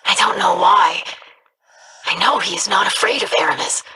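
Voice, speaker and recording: A woman's voice: mid-range voice, normal speed, pushed and bright, breathy edge. An actress voicing an earnest young alien woman in a video game, speaking in formal, precise sentences. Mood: worried.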